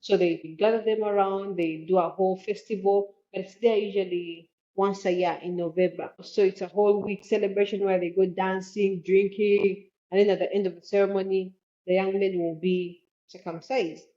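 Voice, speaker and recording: A woman's voice: mid-range voice, flat delivery, normal speed, warm tone, muffled recording. A woman with a Ugandan accent speaking as an interview guest, explaining things in an earnest, conversational way. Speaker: guest, travel expert.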